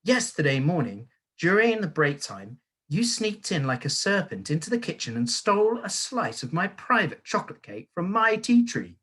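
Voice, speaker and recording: A storyteller voicing a cruel, bullying headmistress who booms and barks insults at a child. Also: sing-song, normal speed, a bit bright, slightly rough. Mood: bellowing. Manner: booming, barking insults, mock-sweet when menacing. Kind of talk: audiobook character voice.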